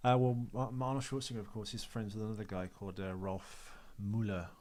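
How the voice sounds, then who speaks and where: Man, low voice, sarcastic, rambling, casual podcast co-host. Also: quick pace, a little mellow. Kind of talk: casual film chat.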